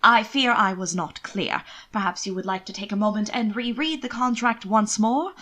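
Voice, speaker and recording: A woman's voice: medium pitch, normal speed, bright, forceful tone. A woman voicing a duke's male envoy in a period audiobook, proud yet careful, patient and politely insistent. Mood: careful politeness.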